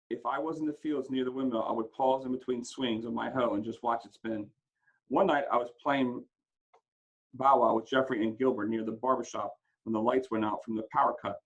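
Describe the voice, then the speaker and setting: A man reading a first-person story aloud in an expressive, dramatic way, occasionally stumbling over a word. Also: mid-range voice, breathy edge, low-quality recording. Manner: dramatic, acts out dialogue, stumbles over words now and then. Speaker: school principal reading a book aloud.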